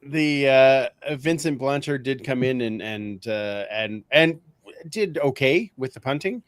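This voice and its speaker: An easygoing man hosts a casual sports podcast. His voice sits at a middle pitch, and he chuckles readily and repeats himself as he chats. The sound is close and dry. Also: animated, unhurried, no room sound. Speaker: podcast host.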